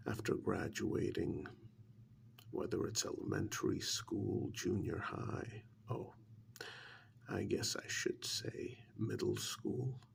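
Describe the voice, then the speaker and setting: A man playing a calm, probing government agent in an ASMR role-play, speaking in a soft breathy half-whisper with a measured, condescending manner. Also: fairly low pitch, exaggerated voice. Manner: measured, condescending, deliberate with long pauses.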